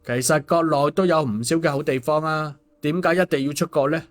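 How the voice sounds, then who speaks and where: A man's voice: fairly high pitch, fairly even intonation, fairly quick, natural voice. A man voicing an easygoing, concerned male story hero in colloquial audiobook dialogue. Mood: questioning.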